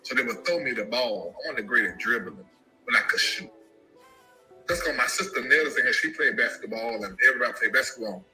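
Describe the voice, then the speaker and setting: A man telling an emotional personal story about his family, animated and given to sudden high shouts. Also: fairly quick, faint music behind, poor audio. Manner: animated, jumps into high-pitched shouts when quoting.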